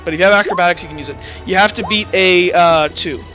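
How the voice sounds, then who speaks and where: A man with a high, very bright voice acts as game master for a tabletop roleplaying game. He improvises scenes and rulings in halting, stop-start phrases, with faint music behind him. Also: rough recording.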